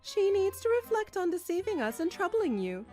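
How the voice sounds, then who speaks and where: Woman as high, fussy older mother-in-law. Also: very animated, normal speed, a little mellow, faint music behind. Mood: scornful. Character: older mother-in-law, doting on son, scornful of his wife.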